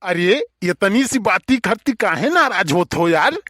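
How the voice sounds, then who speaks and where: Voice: medium pitch, very animated, measured pace, a bit bright, dry recording. Someone doing a gullible nosy village bachelor, awadhi cartoon, takes his time talking, very dry recording. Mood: curious. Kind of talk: Awadhi comedy cartoon dialogue.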